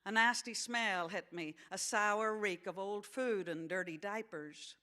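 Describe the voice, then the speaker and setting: A woman playing an earnest sixteen-year-old farm girl who narrates a novel in an attempted American accent, with measured delivery. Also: mid-range voice, bright, forceful tone. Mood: earnest, observant. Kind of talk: dramatised novel reading.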